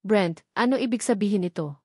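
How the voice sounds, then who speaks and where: A woman's voice: mid-range voice, a little fast, husky, dry recording, close to an everyday voice. An anxious, defiant heroine from an audio story, voiced by a woman narrator. She speaks in protest. The recording is close and very dry. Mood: nervous. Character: frightened, defiant young woman refusing her lover.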